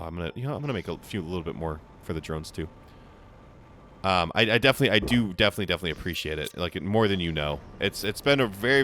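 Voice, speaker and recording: A young man with a hoarse voice, chatting casually as he plays a game for his channel, rambling with frequent restarts. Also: lowish voice, fairly bright tone. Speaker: gaming channel host. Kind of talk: casual gameplay commentary.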